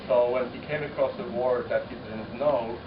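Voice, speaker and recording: A man whose first language is Polish, speaking as a translator at a panel discussion, thoughtful and halting, often rephrasing as he goes. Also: mid-range voice, fairly even intonation. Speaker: poet-translator. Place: university common room. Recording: echoey room, distant mic, muffled, noisy.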